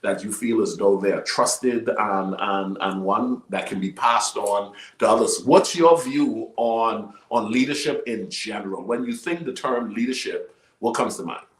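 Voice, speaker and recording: Talk show host: man, lower register, emphatic conversational interviewer, clearly roomy recording. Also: measured pace.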